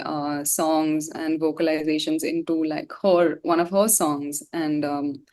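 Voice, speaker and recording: A woman being interviewed as a singer, talking casually in a slangy, rambling way. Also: on the low side. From India. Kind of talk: casual chat.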